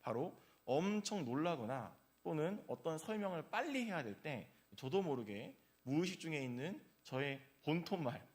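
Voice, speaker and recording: A man's voice: fairly high pitch, very animated, moderate pace, few pauses. A male pastor giving an early-morning sermon in a church, telling personal stories in a conversational way with frequent filler sounds. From South Korea, grew up in Gyeongsang region.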